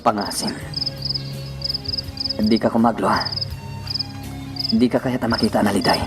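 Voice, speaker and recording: A man's voice: on the low side, measured pace, lightly acted. A man acting an earnest young village suitor in a radio drama, with an Ilocano Filipino accent. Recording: very loud background music.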